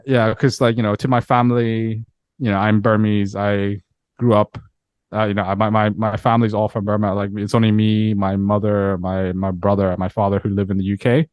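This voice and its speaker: Man, scottish accent, fairly low voice, dead dry sound, unhurried interview talk. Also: clear, resonant tone, dry recording, muffled recording. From Myanmar. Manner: relaxed and reflective, with frequent repeats and fillers. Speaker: interview guest, musician. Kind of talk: casual interview chat.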